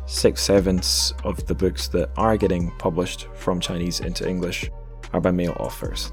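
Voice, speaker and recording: A man's voice: deep voice, a little mellow. A man hosting a podcast in an informal, chatty, conversational style. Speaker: podcast host. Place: remote recording over internet call.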